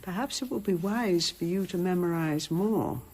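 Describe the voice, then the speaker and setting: An actress playing a composed, shrewd abbess in a radio drama, speaking in a measured, formal and authoritative way. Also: female voice, on the low side, a little mellow, slightly rough. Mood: low-key, resolute.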